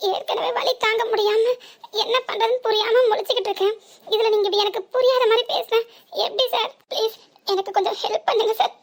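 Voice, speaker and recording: A woman's voice: very high voice, fairly even intonation, fast. A woman voicing a wailing old granny in pain. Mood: pleading. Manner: wailing, pleading and groaning in pain. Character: old woman fallen in the road, pleading. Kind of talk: animated story dubbing.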